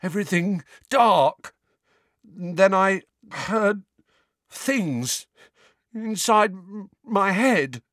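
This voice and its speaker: A man voicing a spoiled, shaken teenage boy who mumbles and stammers in a hoarse croak. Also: extremely high pitch, big pitch swings, fairly bright tone, no echo, clean studio sound, pitched above the performer's own voice, full character voice. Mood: shaken, dazed. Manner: halting, stammering, trembling. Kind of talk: audiobook character voice.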